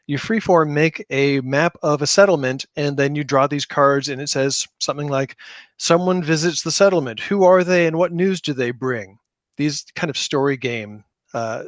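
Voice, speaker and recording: A man's voice: fairly high pitch, dry recording, studio quality. A middle-aged man telling anecdotes casually as an interview guest, repeating words for emphasis. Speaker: podcast guest, game creator; high school teacher.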